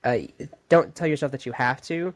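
A man's voice: on the high side, normal speed. A young man co-hosting a casual podcast, easygoing, stringing his thoughts together loosely. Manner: easygoing, loosely strung sentences. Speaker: podcast co-host. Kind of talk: casual chat.

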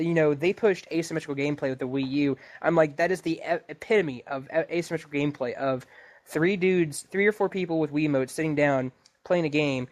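Guy on a gaming podcast, voice slightly high, casual, trips over words and restarts a lot. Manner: stammering, repeats words and restarts sentences. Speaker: podcast co-host. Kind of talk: casual video game discussion.

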